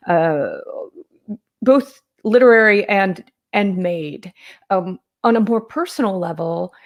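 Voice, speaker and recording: Woman poet talking reflectively, mid-pitched voice. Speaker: guest poet. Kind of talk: reflective conversational talk. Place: live online video call.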